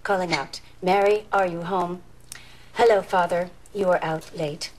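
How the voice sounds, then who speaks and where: A woman's voice: on the low side, steady tone, unhurried, rough recording. An actress playing an obliging female assistant who reads a radio script back plainly in a period drama. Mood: dutiful. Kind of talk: period television drama acting.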